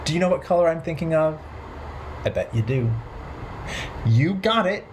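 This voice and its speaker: A man in his thirties hosting a children's storytime, playful and expressive, speaking simply in a rhythmic sing-song way. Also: a little high, moderate pace, a little hiss or hum, low-quality recording. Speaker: children's storytime host at a library. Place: bright living room at home.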